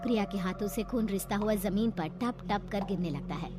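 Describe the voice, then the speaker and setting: A woman's voice: mid-range voice, a little fast, over loud music. A woman storyteller giving dramatic scripted narration of a horror story.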